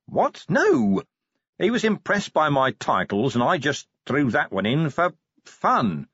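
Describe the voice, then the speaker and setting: Man acting a blunt, animated police commander. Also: a little high, takes it slowly, vocal fry, breathy edge, no echo, lo-fi sound, pitched above the performer's own voice, heavily acted. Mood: indignant. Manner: blunt, challenging, sardonic. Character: gruff, combative middle-aged male police commander. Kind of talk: audiobook character acting.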